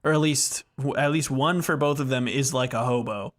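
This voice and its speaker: A man's voice: medium pitch, moderate pace. A man with a mid-range voice talks as a podcast co-host. He chats casually about video games in loose, slangy language and swears offhandedly.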